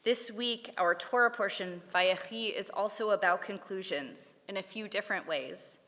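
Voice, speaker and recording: A woman giving a prepared Torah talk at a service, with a measured, deliberate delivery. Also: mid-range voice, sharp tone. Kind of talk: prepared sermon read aloud. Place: synagogue sanctuary. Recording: long hall reverb.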